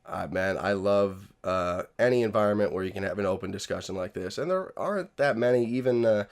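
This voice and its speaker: A soft-spoken young man talks as a guest in a relaxed, rambling way. His voice sits on the low side, and he leans on little fillers as he finds his words. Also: fairly quick. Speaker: podcast guest, musician. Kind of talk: casual chat.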